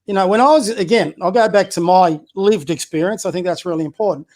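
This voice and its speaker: A man with a high-pitched voice, a community basketball coach giving blunt, opinionated views as a podcast guest. He fires off rhetorical questions and often stumbles and restarts. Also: fast talker, darkish tone. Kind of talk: casual political chat.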